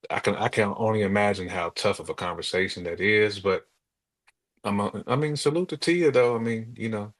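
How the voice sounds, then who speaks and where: Male podcast co-host, very low voice, casual talker who thinks out loud with repeated words. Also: fairly quick. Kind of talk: casual conversational discussion.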